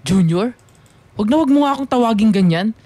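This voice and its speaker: An actor playing a defiant, sulky teenage student son in a radio drama, with a Filipino accent. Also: male voice, sits high, expressive, quick pace, mellow, no room sound. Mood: irritated, dismissive. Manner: snappy, petulant. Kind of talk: radio drama dialogue, Taglish.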